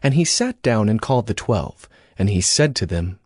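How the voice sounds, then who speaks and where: A man's voice: lowish voice, big pitch swings, moderate pace, darkish tone, no echo, studio quality. A man reading scripture as the narrator of a dramatized audio Bible. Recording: music bed under the voice. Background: background music.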